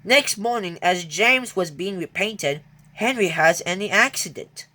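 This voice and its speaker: A storyteller narrating a children's read-along, stumbling and halting over the text. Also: medium pitch, lively intonation, pushed and bright.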